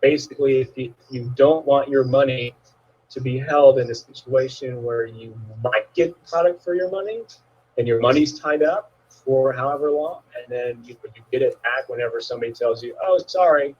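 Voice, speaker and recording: A man with a husky, slightly rough voice hosts a casual conversation, laid-back and agreeable, leaning on fillers. The audio is dull and lo-fi, like a call recording. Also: mid-range voice, normal speed, darkish tone. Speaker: host.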